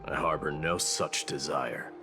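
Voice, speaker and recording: A solemn, grandiose warrior king of a fantasy game. His voice sits low and moves slowly. He speaks in measured, regal phrases with music clearly behind him. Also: fairly even intonation. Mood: grave, resolute. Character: proud warrior king infused with a war god's might. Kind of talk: scripted game voice acting.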